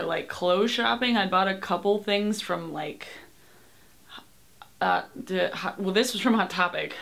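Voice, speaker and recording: Bright-voiced chatty young woman vlogger. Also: fairly low pitch, vocal fry. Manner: rambling, lots of "like" and "um", swears casually. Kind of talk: casual chatty vlog. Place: small bedroom, indoors. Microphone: webcam at desk distance.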